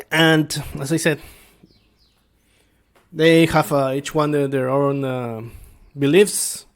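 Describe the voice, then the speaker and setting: A man speaking as a tabletop game master, explaining things casually and without a script, halting as he thinks aloud. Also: on the high side, steady tone. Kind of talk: casual unscripted explanation to viewers.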